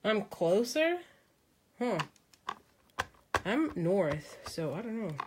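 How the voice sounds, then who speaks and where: A woman's voice: medium pitch, big pitch swings, slow, a little hiss or hum. A woman from Mexico, who speaks natively, hosting a live craft demonstration, thinking aloud as she works and answering viewers' comments. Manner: chatty, thinking aloud while she works, many ums. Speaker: crafting host.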